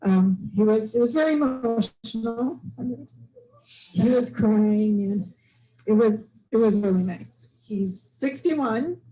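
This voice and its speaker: Brief: middle-aged woman, warm mellow tone, chatty and halting, sharing news at a meeting. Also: a little high, expressive, rough recording. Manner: chatty, halting, many ums. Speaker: club member sharing news. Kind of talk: casual personal story. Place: club meeting room. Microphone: handheld microphone.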